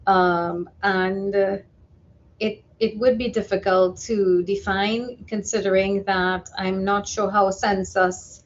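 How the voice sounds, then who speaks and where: A woman speaking as an expert guest in a live news interview, explaining carefully and with measured hesitation. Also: mid-range voice, steady tone, muffled recording, slight background noise.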